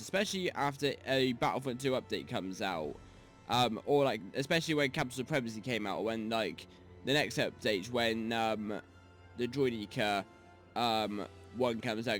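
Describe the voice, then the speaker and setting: A young man with a sore voice from a cold, reviewing a game mod in loose, rambling talk, a little softer than usual. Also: medium pitch. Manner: rambling, many ums and restarts. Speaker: gaming YouTuber. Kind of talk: casual mod review commentary.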